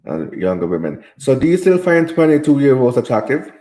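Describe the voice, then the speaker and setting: A man's voice: mid-range voice, sing-song, normal speed, dark tone, echoey room. A man from Jamaica hosting a live stream, reading viewer comments aloud and answering them in casual chat.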